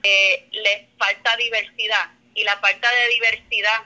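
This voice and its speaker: A woman's voice: mid-range voice, little pitch movement, measured pace, sharp tone, highs cut off. A Puerto Rican woman in her thirties, a comedian and artist, talking casually in a remote conversation, rambling and self-correcting, dropping in English words. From Puerto Rico. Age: 30s.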